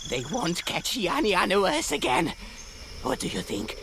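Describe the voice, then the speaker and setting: A woman voicing a nervous forest creature in a video game, with a halting stutter, over nighttime insect ambience. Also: mid-range voice, very animated, creaky, noisy background. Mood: shaken, wary. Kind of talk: video game character acting.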